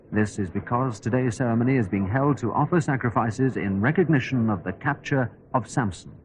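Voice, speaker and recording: An actor playing an observant radio reporter giving a dramatized live on-the-spot commentary. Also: male voice, on the low side, a little mellow, slight background noise, lightly acted, poor audio. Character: on-the-spot male radio reporter, hushed commentary turning to panic. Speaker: roving reporter.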